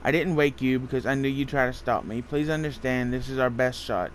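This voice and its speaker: A man's voice: medium pitch, fairly even intonation, a little fast, low-quality recording. Casual male gamer, faint background noise. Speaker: gaming video host. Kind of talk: casual playthrough commentary, reading on-screen text aloud.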